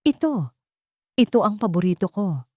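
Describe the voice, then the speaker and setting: A woman's voice: medium pitch, sing-song, moderate pace, darkish tone, no room sound, voice pushed up. A narrator plays a scheming grown-up sister from a storybook. She sounds falsely sweet and nostalgic, full of insinuation, in a dry, close recording. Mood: fake nostalgia. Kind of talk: audio storybook character dialogue.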